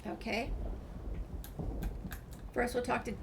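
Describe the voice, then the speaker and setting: Woman gamer with clear background noise. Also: on the high side, little pitch movement, slow. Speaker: gamer reading player dialogue aloud. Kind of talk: game walkthrough, reading dialogue aloud.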